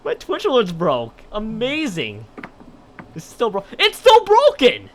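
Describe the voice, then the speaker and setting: A man's voice: sits high, huge pitch swings, takes it slowly, slight background noise. A man livestreaming, chatting and reading a visual novel aloud, often stumbling over and rereading his lines. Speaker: live streamer.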